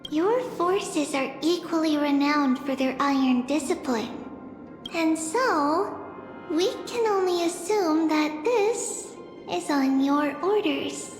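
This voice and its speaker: A woman voicing a young, composed envoy in a video game, polite and deliberate. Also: high-pitched, animated. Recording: echoey hall reverb, light music behind.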